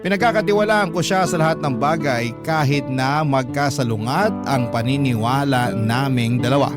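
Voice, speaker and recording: A man narrating as a radio drama host, reading a scripted personal story in measured phrases. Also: mid-range voice. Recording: loud guitar music under narration. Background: prominent guitar music.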